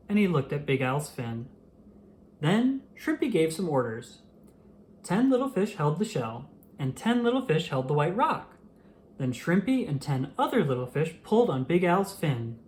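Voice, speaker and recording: An expressive storyteller reads a picture book aloud with a mid-pitched, very animated voice. The delivery rises and dips widely to act out the tale. Faint noise sits behind the reading. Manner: animated, acts out the dialogue.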